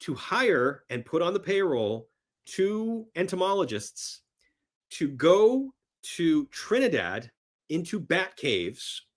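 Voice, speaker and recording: A man as a podcast guest chatting casually and enthusiastically about films, telling stories in an animated, emphatic way. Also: fairly high pitch, slow pace. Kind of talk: enthusiastic casual film chat.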